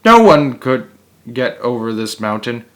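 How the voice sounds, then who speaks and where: A man's voice: medium pitch, very animated, a little slow. A determined young boy from a storybook, speaking earnestly with his voice lifted a little above a grown man's everyday tone, as a male reader plays him. It stays close to the reader's own voice.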